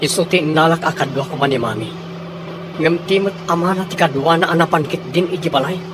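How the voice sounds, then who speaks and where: An actor plays a concerned grown son in Ilocano radio drama. His rather high male voice has an Ilocano accent and a determined tone, with vehicle noise clearly behind it. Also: a little fast, natural voice. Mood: worried, determined.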